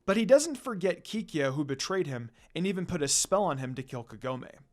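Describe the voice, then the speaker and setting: A man's voice: a little high, sing-song, a little fast, darkish tone. A man reading a story aloud as its narrator, in scripted storytelling style. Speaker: fan fiction narrator.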